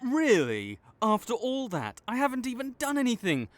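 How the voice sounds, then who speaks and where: A man playing a tsundere supervillain in an audio roleplay, halting and exasperated, teasing and reluctantly caring toward a rival. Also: very high voice, wildly swooping pitch, voice pushed up, full character voice. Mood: sheepish, teasing. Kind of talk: intimate audio roleplay addressed to the listener.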